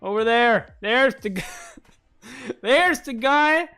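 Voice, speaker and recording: A man reacts live while reading a comic aloud. His voice is high and very lively in pitch, with a playful, off-the-cuff delivery. Soft music plays behind him. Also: slow pace, clean tone. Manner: playful, breaks into laughter, improvises. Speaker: reaction video host. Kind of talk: animated live read-along with reactions.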